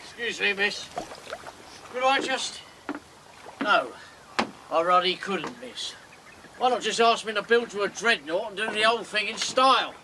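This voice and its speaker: A man's voice: high-pitched, animated, measured pace, pauses often, background noise. An actor playing a boozy river boatman, plainspoken and grumbling. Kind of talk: radio drama acting.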